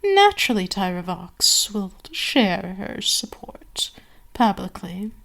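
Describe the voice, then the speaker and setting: A woman voicing a seductive, domineering vampire queen in an ASMR roleplay, speaking deliberately with savoring pauses to an enslaved servant. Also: medium pitch, very animated, gravelly, a bit bright, exaggerated voice. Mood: conspiratorial, measured. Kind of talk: ASMR roleplay, scripted monologue.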